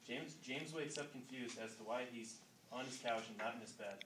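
A young man reading scripted narration aloud, haltingly, with some repeated words. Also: mid-range voice. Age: young adult. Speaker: narrator of a student radio drama. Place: classroom, indoors. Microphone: distant handheld camera. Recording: echoey, noisy room, distant mic.

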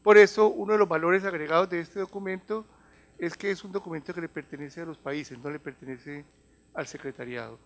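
Colombian guy in his 50s giving a conference talk, voice a bit high, explains stuff with some stumbling repeats. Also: fairly quick. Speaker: health organization advisor giving a talk. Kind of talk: semi-formal conference presentation. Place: large conference room. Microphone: handheld or table microphone.

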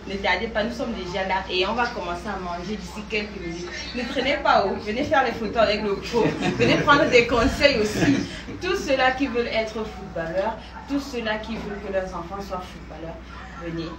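A woman's voice: medium pitch, normal speed, far from the mic. A young woman with a Cameroonian accent, speaking as a vlog host to followers in a bubbly, affectionate, gushing manner. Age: young adult. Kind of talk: casual promotional vlog addressed to followers. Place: indoor room with bare walls. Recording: echoey room, off-mic, heavy background noise.

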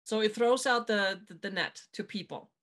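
A woman's voice: medium pitch, normal speed, a bit bright. A middle-aged woman from Germany, hosting a motivational video-call interview and stressing her advice with repetition. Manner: emphatic, repeats key advice. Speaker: podcast host. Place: home room with dark backdrop. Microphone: webcam on video call.

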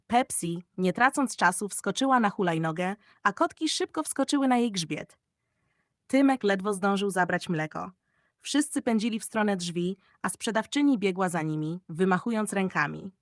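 A woman reading a children's audiobook story in an expressive storybook manner. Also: mid-range voice, normal speed, no echo, clean studio sound.

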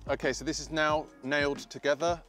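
A man's voice: fairly high pitch, level tone, fairly quick, loud music behind the voice. A British man in his thirties talking informally and self-deprecatingly as he narrates his own woodworking video outdoors. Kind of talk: casual DIY vlog commentary. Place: outdoor paved garden patio by a field.

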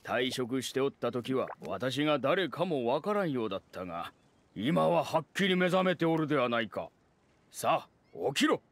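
A man's voice: medium pitch, big pitch swings, normal speed, dry recording. A man voicing a pompous, courtly elder forest guardian in a game, with archaic, dignified phrasing. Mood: stern, scolding. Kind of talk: Japanese anime game acting. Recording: faint music behind.

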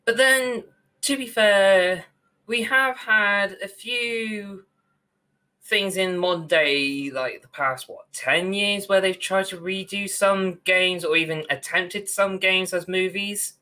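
Bright, forceful young man in casual talk. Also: high-pitched, takes it slowly. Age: young adult. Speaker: podcast co-host. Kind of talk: casual opinionated discussion. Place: small room at home, on a video call.

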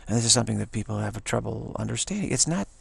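An older man, a spiritual teacher, giving a rambling monologue, halting and repeating words, with frequent chuckles, recorded on an action camera. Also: fairly low pitch, animated, vocal fry, slightly rough, no room sound.

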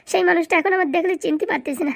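A crafty beggar wife from a comedy cartoon, very high and quick, with a gravelly creak. Her speech is theatrical and full of put-upon lament and sly cunning. The sound is close and dry. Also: no room sound. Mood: wailing, mournful. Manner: melodramatic wailing, drawn-out lamenting, gloating asides. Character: scheming fake beggar wife, wailing over sick husband.

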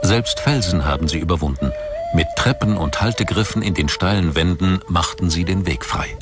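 Male documentary narrator, very low register, factual scripted read with a music bed underneath. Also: lively intonation, normal speed. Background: background music.

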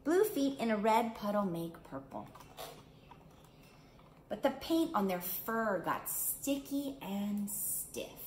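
A woman's voice: mid-range voice, sing-song, slow pace, a little mellow. A middle-aged woman, a children's art teacher, speaking to young children as in a picture book storytime, with simple phrasing. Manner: simple, clear phrasing with questions to young listeners. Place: small indoor room. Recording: roomy indoor echo, clear background noise.

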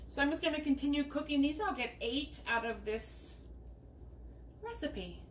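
Chatty home-cook vlogger, woman with a high voice, walking viewers step by step through a recipe in her kitchen, faint hiss behind her. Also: animated, normal speed, a bit bright. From United States. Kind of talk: casual cooking demonstration. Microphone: camera mounted above the counter.

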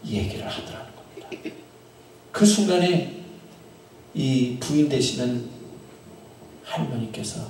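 A middle-aged male pastor tells a story during a sermon in a small chapel, speaking into a handheld mic, with long pauses and phrases that trail off. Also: mid-range voice, mellow, a little hiss or hum. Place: small chapel in front of an altar. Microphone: handheld microphone. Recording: very echoey room, from a distance.

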